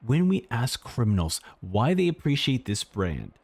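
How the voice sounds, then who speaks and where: A man's voice: mid-range voice, unhurried, gravelly, slightly rough, no echo. A man streaming a game, reading its text aloud theatrically and adding casual commentary. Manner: animated, theatrical reader.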